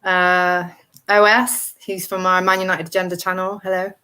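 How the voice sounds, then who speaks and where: Chatty woman with a lowish voice. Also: flat delivery, normal speed, pushed and bright, clear, resonant tone. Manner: chatty, agreeable. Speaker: panel co-host. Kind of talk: casual football chat.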